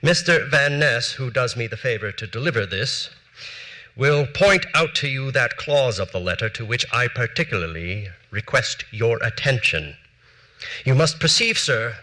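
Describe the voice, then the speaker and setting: Brief: a cold, exacting period politician; crisp diction, sharp bright tone. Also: male voice, medium pitch, low-quality recording. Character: formal, cold early American politician, man. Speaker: stage actor reading letters. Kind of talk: dramatic period letter reading. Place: large theater stage.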